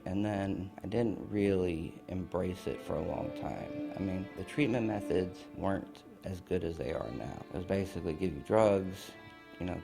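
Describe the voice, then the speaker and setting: Deep gravelly-voiced young man. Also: dark tone. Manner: reflective, earnest, loose with fillers. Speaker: interviewee. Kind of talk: candid personal storytelling.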